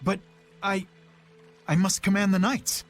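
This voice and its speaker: A man's voice: high-pitched, little pitch movement, unhurried, faint music behind. A man voicing a dutiful, serious young knight in a video game, formal and earnest. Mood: protesting.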